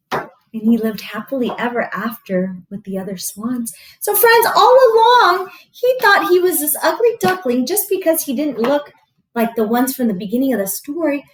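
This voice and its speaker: A woman reading a storybook aloud to children as a teacher, expressive and explaining things simply. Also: high voice, low-quality recording. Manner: expressive, explains to young listeners.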